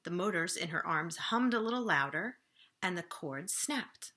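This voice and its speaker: A woman reading a children's storybook aloud expressively, with deliberate dramatic emphasis. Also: on the low side, animated, bright, forceful tone.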